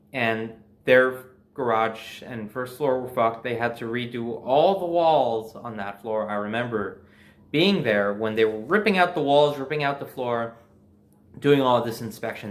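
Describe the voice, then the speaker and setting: A man with an average-pitched voice recounts his own experiences as a storyteller. His delivery is informal and conversational, stressing points emphatically and swearing freely. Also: fairly bright tone. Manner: informal, profanity-laced, emphatic. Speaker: storyteller host. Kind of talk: casual personal storytelling.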